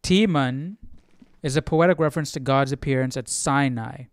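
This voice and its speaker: Clear-voiced man, casually thinking aloud. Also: medium pitch, normal speed, no echo, clean studio sound. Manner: searching, rambling, questioning as he reasons. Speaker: Bible study livestream host.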